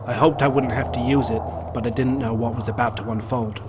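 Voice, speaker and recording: A man playing a worried small-town man who tells his story in a video game, gentle when he talks to children. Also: medium pitch, fairly even intonation, fairly quick, very noisy, natural voice. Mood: somber, reflective. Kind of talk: narrated game story with acted dialogue.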